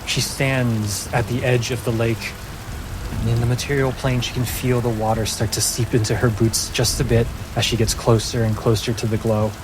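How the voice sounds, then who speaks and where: A man working as game master, narrating a fantasy tabletop game in a measured way with dramatic pauses. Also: medium pitch, level tone, background noise. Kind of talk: slow narration of a fantasy tabletop game, casual chat afterwards.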